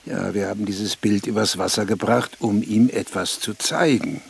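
An actor playing a stately, self-assured prince in a German radio play, speaking formally and measuredly in a gravelly voice. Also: male voice, lowish voice, steady tone, normal speed, exaggerated voice. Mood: regal, composed. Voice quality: very creaky, gravelly.

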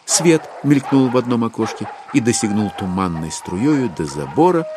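An actor as the wry storyteller of a classic novel, giving expressive literary narration in an audio drama. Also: male voice, on the low side, moderate pace, background noise.

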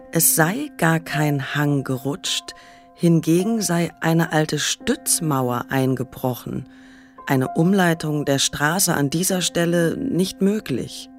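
A woman narrating a literary radio play from a script. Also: low voice.

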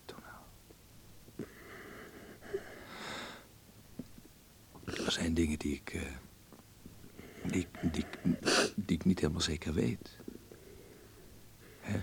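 An actor playing a gentle, teasing young man devoted to his work in a Dutch radio play, speaking tenderly and a little haltingly. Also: vocal fry, exaggerated voice. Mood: soothing. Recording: noisy radio play recording with low rumble.

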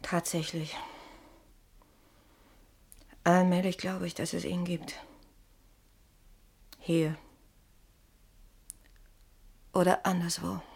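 An actress in a German radio play playing a probing, persistent wife, deliberate and pointed, ranging from hushed to urgent. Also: female voice, on the low side, flat delivery, vocal fry, breathy edge, exaggerated voice. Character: probing, persistent wife, quietly doubting her anxious husband.

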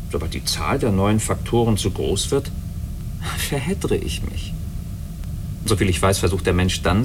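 A coolly logical android, animated pitch. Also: male voice, background noise. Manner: articulate, lecturing, self-assured. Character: human-looking male android, coolly logical, detached, faintly mocking. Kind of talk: German radio drama acting.

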